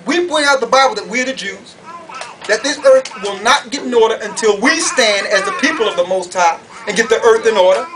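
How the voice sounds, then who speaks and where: A male preacher with a notably high-pitched voice delivers a fiery, confrontational sermon. He leans on emphatic rhetorical questions as he addresses his audience. The recording is picked up from a distance with some background noise. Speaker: preacher, conference speaker. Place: conference room or hall. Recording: distant mic, noisy room ambience.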